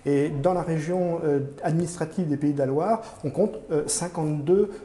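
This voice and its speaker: A man giving formal, measured explanations in an interview as a museum curator, often pausing mid-phrase as he searches for words. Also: a little high, mellow. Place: indoor room in a museum. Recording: roomy, echoing indoor sound.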